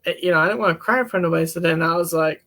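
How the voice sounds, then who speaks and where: A man talking as a counselor in a casual, candid discussion, with a relaxed, folksy manner. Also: a little high, very fast. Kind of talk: casual podcast-style discussion.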